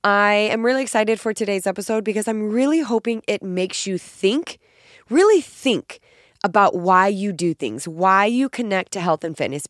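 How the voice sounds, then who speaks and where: Raspy, nasal woman podcaster with a cold. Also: mid-range voice, no echo, studio quality. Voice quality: naturally raspy voice. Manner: upbeat, emphatic and encouraging. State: sick, extra nasal and raspy. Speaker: podcast host and fitness coach. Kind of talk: solo podcast monologue.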